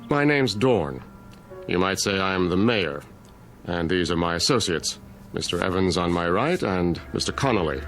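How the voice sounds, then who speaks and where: An unflappable small-town mayor in an audio drama. His low voice is measured and formal, every courtesy carrying a veiled threat. Faint music sits underneath. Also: expressive, fairly bright tone. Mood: smoothly courteous.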